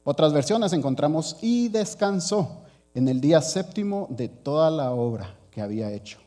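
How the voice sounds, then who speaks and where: Warm-voiced man preaching a sermon. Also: fairly high pitch, very animated, normal speed, faint music behind. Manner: earnest, pastoral, addressing the congregation as brothers.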